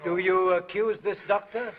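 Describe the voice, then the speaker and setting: An actor playing a skeptical, stern police prefect who questions people curtly, in an old 1930s film soundtrack. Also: male voice, sits high, steady tone, moderate pace, phone-quality sound, noisy background, lightly acted. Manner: curt, interrogating.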